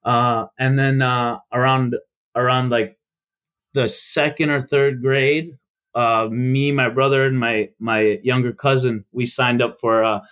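Halting young man from Chicago. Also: medium pitch, level tone, a bit bright, clear, resonant tone. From United States. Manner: halting, many restarts and fillers. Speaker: guest. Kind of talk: casual podcast interview.

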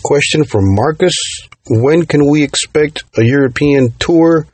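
A man's voice: a little high, measured pace, darkish tone, slightly rough. A man hosting an interview, reading out fan questions and praising his guest with a fan's enthusiasm.

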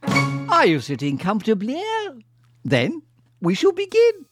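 A man hosts an interview podcast with a slightly high voice. He is effusive and playful, fond of wordplay, and addresses his guest like a showman introducing an act. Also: huge pitch swings, unhurried, over loud music. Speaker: podcast host. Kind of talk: interview with a scripted-sounding show intro.

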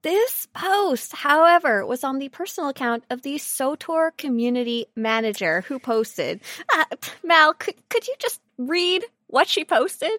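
Female co-host, slightly high voice, amused casual explainer, recorded dry and close. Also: very animated, unhurried, a bit bright, no room sound. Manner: lighthearted, amused. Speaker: podcast co-host. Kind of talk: casual explanatory podcast chat.

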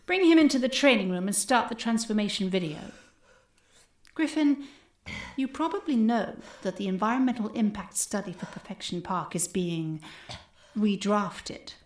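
An actress playing a composed, menacing female cult leader in an audio drama, condescending and mock-gentle with a deliberate delivery. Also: mid-range voice, lively intonation, lightly acted. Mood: smug, soothing. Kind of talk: scripted audio drama acting. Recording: roomy echo.

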